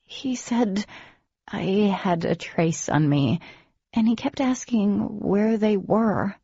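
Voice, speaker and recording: A woman's voice: medium pitch, slow pace, warm tone, husky, dry recording, dull audio, pitched above the performer's own voice. A woman voicing a shaken young woman who speaks with a sore, strained throat, earnest and indignant.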